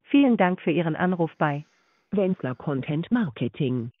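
A woman reads a formal phone-menu announcement in an even, fairly low voice. It comes through a tinny phone line and sounds dry. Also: normal speed, no room sound.

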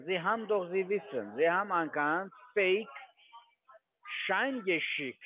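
A man's voice: high voice, expressive, a little slow. A half-Italian man working as a trading firm agent, pushing insistently in broken German over the phone. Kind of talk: phone call. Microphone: telephone. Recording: tinny phone line.

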